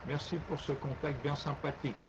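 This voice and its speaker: A man's voice: fairly high pitch, level tone, fairly quick. A man, an amateur radio operator, chatting casually and colloquially in speech, with frequent tag words. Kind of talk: casual ham radio chat. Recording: dull lo-fi radio link, loud noise.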